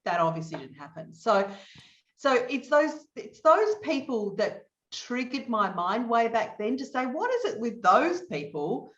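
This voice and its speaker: A middle-aged woman from Australia with an Australian accent, a guest telling her career story in an animated, emphatic way. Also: medium pitch. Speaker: guest, training consultant. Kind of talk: conversational interview answers. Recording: echoey, dull remote audio.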